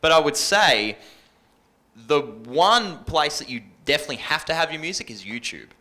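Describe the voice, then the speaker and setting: A man's voice: fairly high pitch, expressive, moderate pace, bright, forceful tone. A man with an Australian accent giving casual, informal advice as a radio presenter on a workshop panel. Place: live panel workshop indoors.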